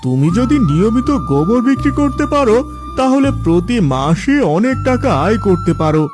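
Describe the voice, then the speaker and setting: A man's voice: extremely high pitch, very animated, moderate pace, music in the background. A man voicing a kindly, helpful village vegetable farmer in a cartoon story, agreeable and earnest. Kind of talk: dramatised cartoon story dialogue.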